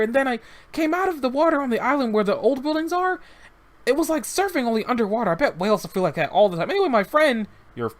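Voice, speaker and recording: A man's voice: very high-pitched, voice pushed up. A man voicing an earnest young boy who talks eagerly and rambles a bit about whales and a new friend.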